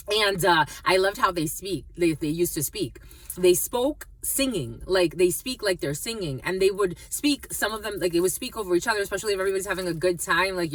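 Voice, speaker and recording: A woman's voice: low voice, a bit bright, no echo, far from the mic. A woman with family from the Dominican Republic, giving a rambling tarot reading full of fillers and restarts. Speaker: tarot reader. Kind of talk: casual spiritual reading monologue.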